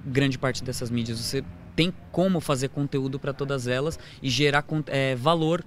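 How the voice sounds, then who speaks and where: A young Brazilian man, a student, gives a halting interview answer at medium pitch. He is outdoors on a handheld microphone, with faint background noise. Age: young adult. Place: outdoors by a campus building. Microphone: handheld reporter microphone.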